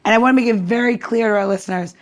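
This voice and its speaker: A woman's voice: on the high side, very fast. A woman co-hosting a podcast in casual chat, talking in a very informal, chatty and emphatic way. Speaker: podcast co-host.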